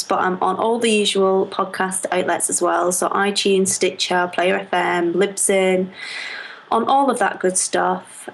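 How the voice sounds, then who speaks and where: A woman from Lancashire speaks with a Lancashire accent as a podcast guest. She talks bluntly and directly, with frequent laughs. Her voice sits at an ordinary middle pitch. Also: low-quality recording. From Lancashire, England. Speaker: knitting podcast host, guest. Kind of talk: casual interview chat.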